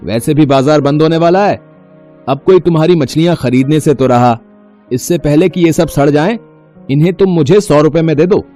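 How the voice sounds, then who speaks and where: A man voicing a pushy market customer in a cartoon, bargaining briskly. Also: a little high, mellow, soft background music, natural voice. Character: haggling, pushy male fish customer in a cartoon.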